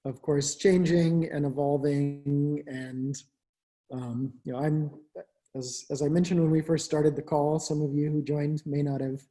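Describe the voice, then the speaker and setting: A webinar host speaks on a video call with a mellow, warm-toned voice. The host asks questions in a loose, casual way. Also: mid-range voice, level tone, measured pace, soft background music. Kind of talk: webinar interview.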